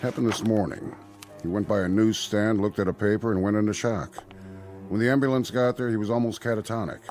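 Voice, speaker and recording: An actor playing a concerned, businesslike American hospital doctor in a radio drama, explanatory and reassuring in manner. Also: male voice, on the low side, fairly even intonation, fairly quick, a little mellow, lightly acted. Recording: studio radio drama with faint music.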